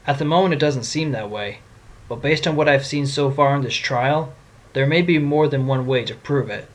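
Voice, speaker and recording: A man playing a wry, quick-witted defense lawyer in an amateur audio drama. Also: medium pitch, close to an everyday voice. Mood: determined.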